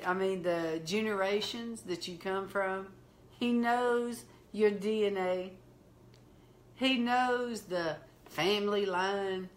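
A middle-aged woman minister with a Southern accent, telling heartfelt stories slowly in a preaching manner during a conversation. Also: mid-range voice. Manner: slow, heartfelt preaching delivery. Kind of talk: testimony-style storytelling in conversation.

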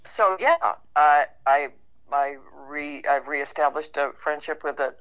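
A woman with a low, very bright and forceful voice chats as an interview guest. She is wry and anecdotal, feeling her way into each story, over dull lo-fi call audio. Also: a little slow. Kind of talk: casual conversational chat.

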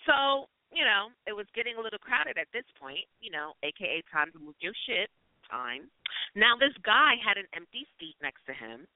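A man hosts a call-in show, his voice sitting a little higher than most men's. He gushes and swears freely in loose, slangy talk. The sound is tinny and dry, like a phone line. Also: very animated, moderate pace, dry recording. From New York City. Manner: gushing, effusive, slangy and profane. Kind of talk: casual call-in podcast chat.

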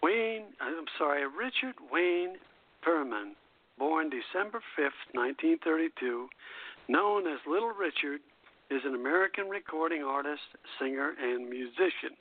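Male radio host, slightly high register, halting scripted read with stumbles, phone-line sound. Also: animated. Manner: halting reader who stumbles and self-corrects. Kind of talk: reading scripted music history aloud. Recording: tinny phone-line internet radio call.

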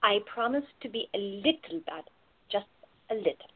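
A woman's voice: medium pitch, normal speed, breathy edge. Woman playing a teasing woman, dull recording. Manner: speaks simple broken English, deadpan teasing. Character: teasing foreign woman in costume, playful, bold, quick-witted. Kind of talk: radio play acting.

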